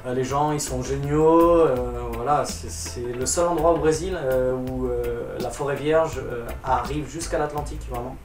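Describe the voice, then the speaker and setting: A man's voice: medium pitch, moderate pace, few pauses, recorded from a distance. Male singer, laid-back interview talk full of hesitations; roomy room, off-mic, music playing. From France. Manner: loose, rambling, many fillers and restarts. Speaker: reggae singer. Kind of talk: relaxed interview chat.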